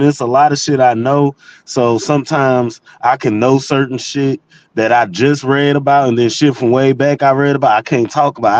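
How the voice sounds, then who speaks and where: A man's voice: mid-range voice, dry recording. Casual, slangy man in group chat. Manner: slangy, profane, loosely rambling. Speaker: panel participant.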